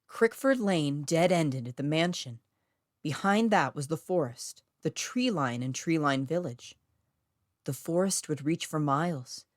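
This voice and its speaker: A woman with a low-pitched voice narrates an eerie short story of her own. The reading is scripted, and the sound is dry with very little room in it. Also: no room sound.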